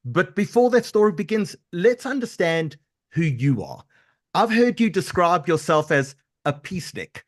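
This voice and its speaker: A man hosts a live webinar interview over a video call. His voice sits a little high. He talks in a chatty way, often doubling words as he thanks sponsors and guests. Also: very animated, moderate pace. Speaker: webinar host.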